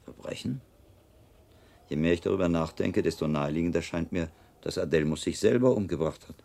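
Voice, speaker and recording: An actor playing a shrewd, calm monk investigator in a radio drama, deliberating analytically as a mentor. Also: male voice, sits low, a little fast, gravelly. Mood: pensive, probing.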